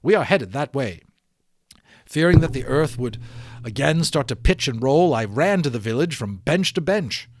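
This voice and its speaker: A man reading a children's novel aloud as an expressive amateur audiobook narrator. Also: medium pitch, normal speed, no echo.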